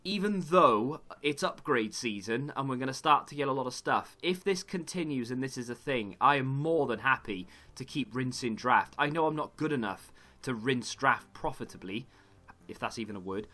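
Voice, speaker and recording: A man, slightly hoarse, rambling through casual gaming commentary and correcting himself as he goes. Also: medium pitch, pushed and bright. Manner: rambling, self-correcting chatter. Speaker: gaming YouTuber.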